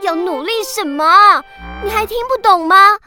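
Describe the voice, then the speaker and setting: A woman's voice: very high-pitched, unhurried, music in the background. A woman playing a petulant, ambitious, dramatic young middle sister in a children's radio drama. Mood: exasperated.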